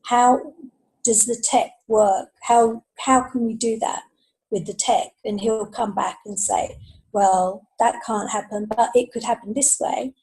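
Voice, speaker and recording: A woman with a soft-toned, mellow voice pitched a little high. She speaks casually and with measure, breaking her thoughts into short phrases. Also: fairly even intonation, a little slow, rough recording. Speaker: writer on a panel. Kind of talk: casual panel chat.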